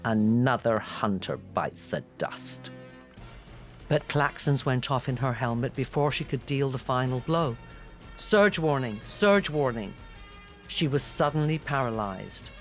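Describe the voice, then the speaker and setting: A man's voice: mid-range voice, animated, unhurried, faint music behind. A man reading scripted fantasy narration in a dramatic audiobook style.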